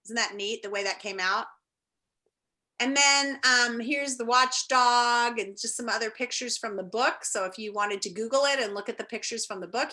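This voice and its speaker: A woman's voice: a little high, sharp tone. A woman teacher talking and reading aloud to an online class, enthusiastic and encouraging. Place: indoors, on a video call.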